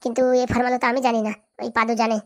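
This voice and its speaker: A woman's voice: fairly high pitch, flat delivery, rapid-fire, natural voice. A woman voicing a greedy, domineering village madam with a rural Bengali accent in a comedy cartoon. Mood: anxious, eager. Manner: domineering, scolding. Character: bossy, greedy village madam running for election.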